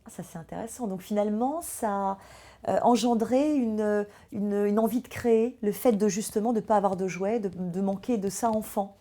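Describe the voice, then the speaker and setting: Mellow-voiced French woman host. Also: medium pitch, normal speed. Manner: friendly, many hesitations. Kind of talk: interview.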